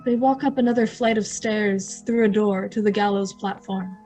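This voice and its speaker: A woman's voice: mid-range voice, steady tone, moderate pace, dark tone, faint music behind, natural voice. A woman giving scripted narration as the narrator of an old-time radio drama.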